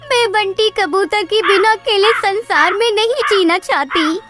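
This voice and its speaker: Woman as a tiny, very high-pitched cartoon bird wife. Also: unhurried, a bit bright, soft background music. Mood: desperate pleading. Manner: pleading, earnest. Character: small female bird, anxious devoted wife. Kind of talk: children's cartoon voice acting.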